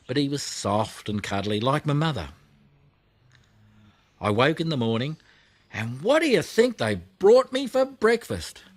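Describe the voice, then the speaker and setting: A man's voice: mid-range voice, very animated, a little slow, pauses often, no echo. Guy doing a motherly lady koala from a kids storybook, chatty n plaintive, really swoopy delivery. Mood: plaintive, indignant. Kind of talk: children's audiobook character reading.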